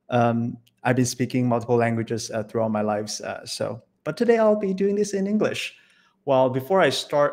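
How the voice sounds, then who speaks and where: A man's voice: medium pitch, a little mellow. Malaysian-born man presenting on a video call. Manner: friendly, rambling with many fillers. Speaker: guest presenter. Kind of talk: online presentation.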